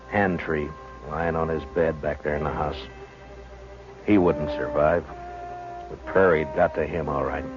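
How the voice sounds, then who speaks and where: World-weary western marshal, deep pitch, terse, gruff, vintage radio drama, muffled old recording. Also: male voice. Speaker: lead actor and first-person narrator. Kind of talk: 1950s radio western acting.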